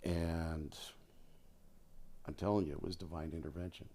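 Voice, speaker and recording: A man's voice: sits low, fairly even intonation, moderate pace, warm tone, breathy edge. An American man in his sixties talks casually as a podcast guest, telling stories and repeating phrases for emphasis. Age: 60s. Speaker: podcast guest, retired air traffic controller. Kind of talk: casual conversational storytelling.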